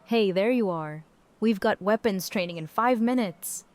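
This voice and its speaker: A woman's voice: medium pitch, a little slow, close to an everyday voice. A woman playing a brash, outspoken survivor of about thirty in an audio drama, blunt and casual. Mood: brisk, teasing. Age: 30s.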